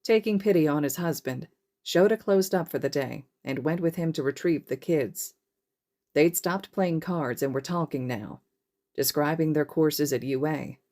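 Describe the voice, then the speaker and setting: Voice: medium pitch, normal speed, warm tone. A narrator reading scripted fiction as an audiobook-style story. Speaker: podfic narrator.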